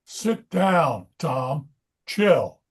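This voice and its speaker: Man playing a high-voiced, casual onlooker. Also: sing-song, very slow, slightly rough, heavily acted. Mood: calming, firm. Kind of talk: screenplay table read.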